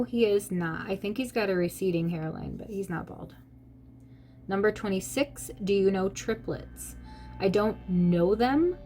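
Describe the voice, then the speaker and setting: A woman's voice: medium pitch, darkish tone. A young adult woman answering questions in a casual vlog, chatty with lots of "like" and frequent laughs. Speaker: vlogger.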